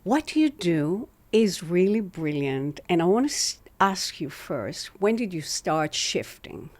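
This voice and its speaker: A woman's voice: lowish voice, big pitch swings, takes it slowly, darkish tone, no echo. A woman hosting an interview show, presenting her guest and asking questions. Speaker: interviewer and host. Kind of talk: scripted introduction and interview.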